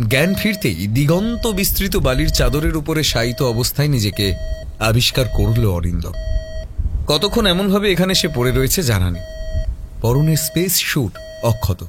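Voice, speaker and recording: Male audio-story narrator, measured and dramatic, mid-pitched with lively intonation, horror mystery read. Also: music in the background.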